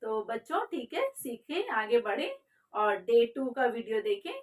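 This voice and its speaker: A woman's voice: on the high side, very animated, unhurried, recorded from a distance. A woman with an Indian accent teaching a spoken English class, spelling words out letter by letter and repeating them for her students. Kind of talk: spoken English lesson in Hindi and English.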